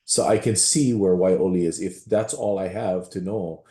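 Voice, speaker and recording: A man's voice: sits low, normal speed, dark tone, reverberant. A man from Waianae, a pastor giving a rambling public comment with many restarts at a meeting. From Waianae, Hawaii.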